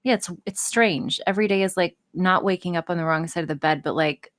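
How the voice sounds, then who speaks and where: Woman w/ lowish voice chatting on a podcast, candid, sweary, kinda wry. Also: fairly quick. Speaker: podcast guest, audio creator. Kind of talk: casual podcast chat.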